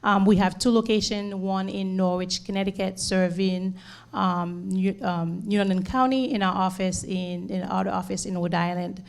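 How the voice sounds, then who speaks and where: A woman's voice: mid-range voice, steady tone, normal speed, gravelly. A middle-aged woman from Haiti with a Haitian accent, a nurse giving an earnest community presentation with many "um"s and repeated words. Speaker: nurse and home care leader.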